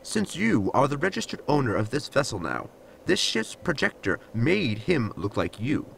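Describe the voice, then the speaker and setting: A man's voice: medium pitch, wildly swooping pitch, moderate pace, vocal fry, a bit bright, slight background noise, exaggerated voice. A man playing a blunt, literal android servant in a sci-fi comedy audio drama, formal and matter-of-fact. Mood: matter-of-fact explaining.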